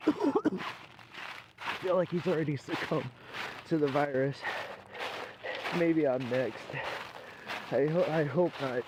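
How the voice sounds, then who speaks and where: A man's voice: on the high side, animated. Man playing an infected ex-company man, uneasy and rambling, horror found footage, noisy handheld camera outdoors. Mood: grim, searching. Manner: halting, rambling, repeats himself. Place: outdoors in woods, walking. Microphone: handheld video camera.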